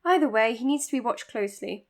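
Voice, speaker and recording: An overworked woman doctor in an audio drama, with a fairly high voice. She is formal and earnest, choosing her words precisely and quick to blame herself. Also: expressive, a little mellow. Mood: clinical, curious. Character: overworked, self-blaming woman doctor running an asylum. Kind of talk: naturalistic audio drama, dictated case notes and dialogue.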